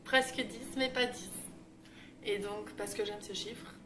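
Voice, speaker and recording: A woman's voice: medium pitch, fairly even intonation, measured pace. A young woman in her twenties chatting casually in a vlog with lots of fillers. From Belgium. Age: 20s. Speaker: YouTuber. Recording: roomy echo, distant mic, noisy.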